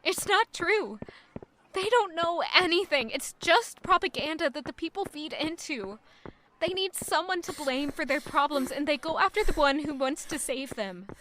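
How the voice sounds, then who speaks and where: An actress playing a young maid who is defensive and in love, thinking aloud with strong emotion in an audio drama. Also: female voice, high voice, lively intonation, moderate pace, fairly bright tone, a little hiss or hum. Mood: indignant.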